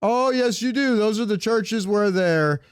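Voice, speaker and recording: Clear-voiced man in casual joking podcast chat. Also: high voice, measured pace, no room sound, clean studio sound. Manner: profane, joking. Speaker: podcast co-host. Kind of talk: casual comedic podcast chat, reading out answers.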